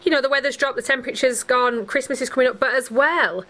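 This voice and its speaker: A woman's voice: sits high, steady tone, fast talker, a bit bright. A woman hosting a casual radio interview in a chatty, tentative, questioning way. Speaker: radio host. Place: radio studio.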